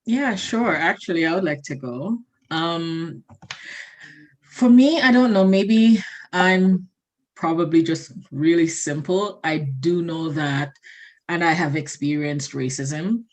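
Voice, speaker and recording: Woman talking slow and thoughtful, lowish voice, keeps repeating herself. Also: a little mellow. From Jamaica. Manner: slow, reflective, repeats points. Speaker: panel participant. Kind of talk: casual discussion.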